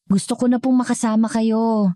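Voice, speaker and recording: A woman's voice: fairly high pitch, level tone, normal speed, mellow, no room sound, studio quality, voice pushed up, lightly acted. A woman with a Filipino accent voicing an affectionate, eager adult son longing for home in a dramatic story.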